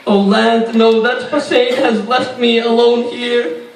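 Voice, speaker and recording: Male reciter, very high register, mournful devotional chant, drawn-out lines, echoing space. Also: little pitch movement, slight background noise. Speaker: religious reciter. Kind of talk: melodic devotional lament recitation of poetry. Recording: echoing, distant-miked recitation.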